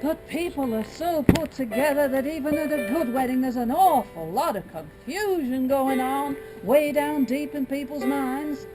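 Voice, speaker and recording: A woman's voice: high voice, animated, measured pace, hardly any pauses, low-quality recording. A woman playing a folksy stage manager who talks to the audience and stands in as a minister. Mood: wry. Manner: chatty, wry aside to audience. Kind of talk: stage play performance. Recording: loud music under voice.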